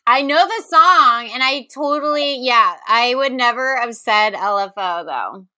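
A woman co-hosting a podcast in casual, playful chat, expressive and full of exclamations. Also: on the high side, a little slow, no echo. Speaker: podcast co-host guessing in a game.

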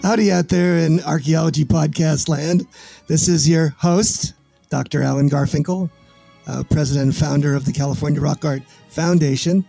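A man hosting a podcast, folksy and energetic, often restarting his words, with a dry close-mic sound. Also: fairly high pitch, moderate pace, gravelly, faint music behind. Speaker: podcast host. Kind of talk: casual interview with promo reads.

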